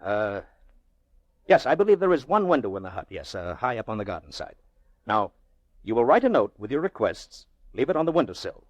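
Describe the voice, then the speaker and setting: A man playing a haughty, very wealthy middle-aged gentleman in an old-time radio drama, speaking in pompous rhetorical flourishes with drawn-out emphasis. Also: on the low side, very animated, no room sound, muffled recording. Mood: brisk, commanding. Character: haughty, very wealthy Russian gentleman, goading and theatrical.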